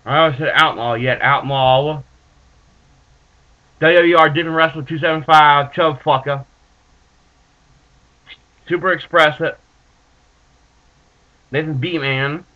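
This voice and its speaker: A man in his twenties with a stuffed-up nose, rambling in a laid-back, halting way as he talks to his webcam in a small room with bookshelves. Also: on the high side, fairly even intonation. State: stuffed up from allergies. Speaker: vlogger reviewing dip tobacco. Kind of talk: casual webcam vlog. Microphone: built-in webcam mic. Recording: muffled lo-fi webcam audio.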